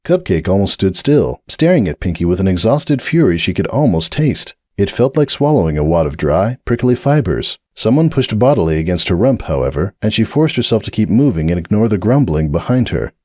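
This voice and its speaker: A man narrating a dramatic audiobook reading of a fanfiction story in a measured, expressive way. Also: low voice, no echo, studio quality.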